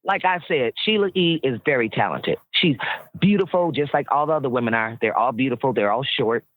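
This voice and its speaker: A woman with a very deep voice, telling stories bluntly and with profanity as a guest in a casual interview chat. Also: lively intonation, a little fast, dry recording. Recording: tinny phone-like line.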